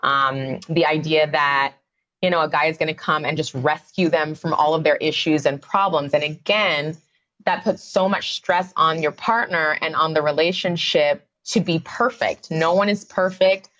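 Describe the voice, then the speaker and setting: Woman, low pitch, conversational, explanatory, dull recording. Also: fairly even intonation, moderate pace, fairly bright tone. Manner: conversational, explanatory, many "um" and "you know" fillers. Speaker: psychotherapist guest. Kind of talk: podcast interview.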